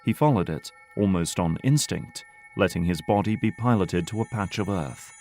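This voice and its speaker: A man's voice: low-pitched, moderate pace, darkish tone, faint music behind. A man narrating an audio drama in a scripted, dramatic storytelling style.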